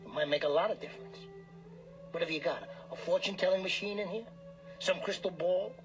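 Man playing a high-voiced, cocky, greedy hustler. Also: fairly quick, natural voice. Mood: probing. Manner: cocky, slangy, needling. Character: pushy, greedy small-time male hustler. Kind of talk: radio drama acting. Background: music.

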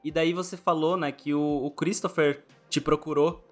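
A man's voice: a little high, fairly even intonation, moderate pace, faint music behind. A man with a Brazilian Portuguese accent casually interviewing a guest as a podcast host.

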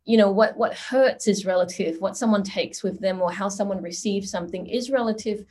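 A woman's voice: mid-range voice, a little mellow. Thoughtful woman from Singapore. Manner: thoughtful, measured, with frequent "you know". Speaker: guest. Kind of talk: conversational interview.

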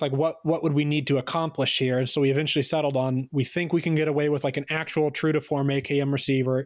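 A man's voice: medium pitch, dry recording, studio quality. A man speaking as a podcast guest, telling technical stories in a casual, rambling way. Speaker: podcast guest, firearm designer.

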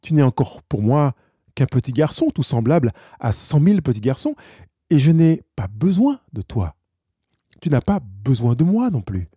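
A man voicing a lonely, wistful fox in a storybook, explaining gently in simple phrases. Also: mid-range voice, sing-song, moderate pace, husky, dry recording, studio quality. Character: wistful, lonely wild fox longing to be tamed.

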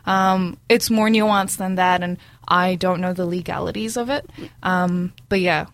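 A woman's voice: lowish voice, steady tone, measured pace. A woman in her twenties from Brunei, a junior doctor, chatting casually as a guest with many ums and frequent laughs. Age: 20s. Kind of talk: casual interview chat.

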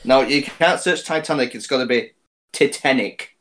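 A man's voice: medium pitch, moderate pace, fairly bright tone, a little hiss or hum. A young man co-hosting a podcast, trading casual banter with friends. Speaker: podcast co-host.

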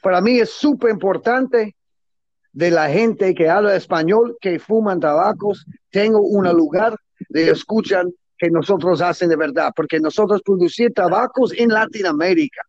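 High-pitched guy, not a native speaker, choppy spanish, dry muffled sound. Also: darkish tone, dry recording. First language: not Spanish; Spanish is his second or third language. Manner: broken, halting Spanish with grammar slips, enthusiastic and salesy. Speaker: guest, cigar businessman. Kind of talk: closing remarks in a casual interview.

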